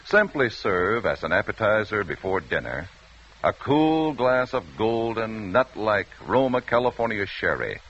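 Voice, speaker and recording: A man's voice: lowish voice, sing-song, measured pace, fairly bright tone, a little hiss or hum. An American man reading a 1940s radio advertisement as announcer, with polished, emphatic salesmanship. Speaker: commercial announcer. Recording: dull vintage radio recording.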